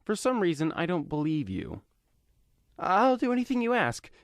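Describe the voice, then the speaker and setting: A frightened kidnapper played by a man in an audio comic begs in a panic. The voice is pushed high and sounds desperate, recorded dry and close. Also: wildly swooping pitch, normal speed, creaky, dry recording, full character voice. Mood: frightened pleading. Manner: pleading, panicky. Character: frightened male kidnapper pleading for his life.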